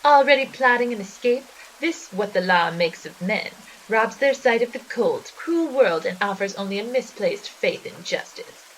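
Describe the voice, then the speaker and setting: A woman's voice: a little high, lively intonation, moderate pace, few pauses, lightly acted. Woman acting a gloating spider-woman villain, noisy recording. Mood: menacing, scornful. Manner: theatrical, taunting, villainous. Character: menacing, gloating female spider monster posing as flapper. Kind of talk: 1920s gangster slang radio drama acting.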